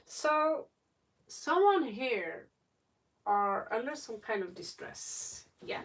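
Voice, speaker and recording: A woman talks slowly through a casual tarot livestream, with plenty of fry in her voice. She rambles and thinks aloud as she reads. The microphone sounds some way off from her. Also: mid-range voice, slight background noise. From Norway. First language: Norwegian. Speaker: tarot reader, livestream host. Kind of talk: casual live tarot reading, chatting. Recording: distant microphone.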